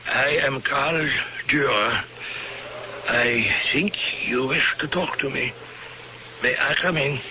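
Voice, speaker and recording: An actor in a 1970s radio drama playing a formal, halting doctor accused of a dark past, frightened and protesting his innocence. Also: mid-range voice, natural voice. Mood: polite, formal. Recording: muffled, noisy old radio broadcast.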